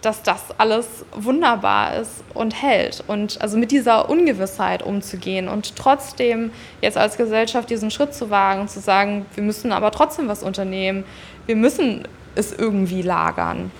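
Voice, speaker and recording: A woman's voice: medium pitch, moderate pace, vocal fry, a little hiss or hum. A woman giving spontaneous, explanatory answers as an interview guest. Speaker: interviewee, volunteer citizen member of an advisory body.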